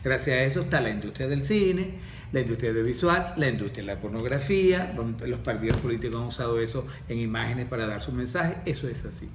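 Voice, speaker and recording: A man with a Venezuelan accent, explaining in a measured, lecturing way in a podcast and answering his own questions. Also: medium pitch, animated, echoey room, distant mic, slight background noise. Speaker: course facilitator, guest.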